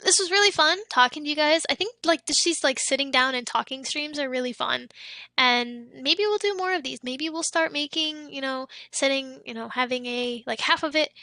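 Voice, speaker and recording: A young woman streamer talks loosely with her chat, high-pitched and bright, sometimes slipping into breathy half-whispers. Her voice is a little worn, as if she has been losing it for days. The sound is close and dry, with no room around it. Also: no room sound. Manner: chatty, reads out chat, trails into soft whispery asides. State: losing her voice, throat a bit off. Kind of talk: casual chat with live stream viewers.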